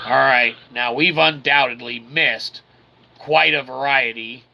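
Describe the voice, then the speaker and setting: A man with a gravelly, creaky voice gives casual video game commentary, mixing wordy mock-formal phrasing with slang and speaking as "we". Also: mid-range voice, fairly even intonation, measured pace, pushed and bright. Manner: refers to himself as "we". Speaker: gaming channel host. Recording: clearly noisy background.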